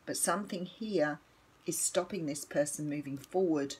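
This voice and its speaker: An older Australian woman with a croaky morning voice, chatting casually and rambling through a tarot reading at home with lots of ums. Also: low voice, moderate pace, darkish tone, slight background noise, rough recording. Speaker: tarot reader.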